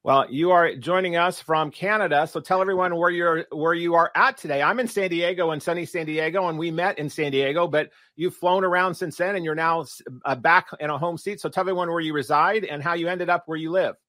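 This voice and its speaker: A man's voice: a little high, steady tone, a little fast, few pauses, pushed and bright, no room sound, clean studio sound. A man hosting a podcast interview, asking long, rambling questions full of restarts and fillers. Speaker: podcast host.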